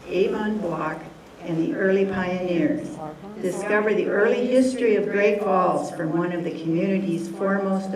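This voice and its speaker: A woman reading a prepared introduction for a guest speaker at a lecture, with a few slips. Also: mid-range voice. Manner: reads steadily, with a few slips. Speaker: event organizer introducing the speaker. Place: lecture hall with audience. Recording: echoey room, distant, audience noise.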